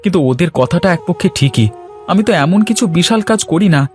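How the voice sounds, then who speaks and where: A man's voice: high voice, expressive, quick pace. A man playing an earnest, emotional young son devoted to his mother, in a cartoon. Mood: hurt. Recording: light background music.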